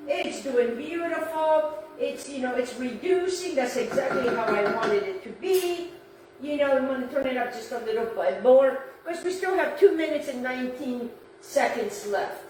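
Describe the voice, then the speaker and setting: A chatty woman from Italy, Italian her first language, talks a home cook through a recipe and repeats her tips. Her voice sits high. It is picked up from a little distance in an echoey kitchen with faint room noise. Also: expressive, normal speed. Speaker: home cooking host. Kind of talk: casual cooking demonstration.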